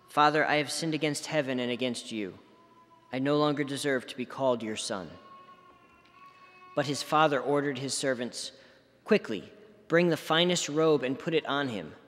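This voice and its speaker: A man's voice: medium pitch, fairly bright tone. Man reading scripture in a reverberant church. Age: middle-aged. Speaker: deacon reading the Gospel. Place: large stone church, at a lectern.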